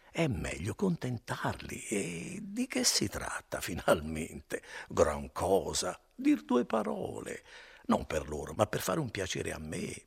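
A man voicing a shrewd middle-aged innkeeper who coaxes and grows gruff. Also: low voice. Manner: wheedling, then gruff.

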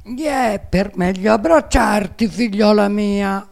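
A woman voicing a sly storybook wolf who fakes a sweet voice, coaxing and drawn-out. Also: mid-range voice, gravelly, a little mellow, voice pushed up. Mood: falsely tender. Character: sly wolf faking a sweet granny or girl voice.

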